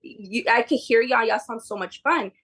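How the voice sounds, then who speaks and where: A woman's voice: fairly high pitch, moderate pace, fairly bright tone, husky. A woman whose first language is Spanish, chatting informally as a podcast guest about her work. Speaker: podcast guest, behavior therapy tutor. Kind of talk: casual conversational interview.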